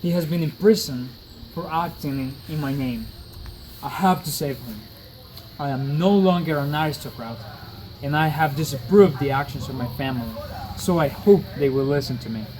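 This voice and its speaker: Man playing an earnest, higher-voiced French gentleman in exile. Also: close to an everyday voice, low-quality recording. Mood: concerned, resolute. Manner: formal, deliberate storytelling. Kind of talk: scripted dramatic monologue of a classic novel. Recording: faint background noise, amateur recording.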